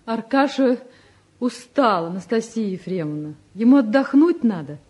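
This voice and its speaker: Spirited young sweetheart in old soviet radio play, high husky voice, frank and expressive. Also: female voice, normal speed. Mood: earnest, protective. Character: spirited, frank young woman, the elder son's devoted sweetheart.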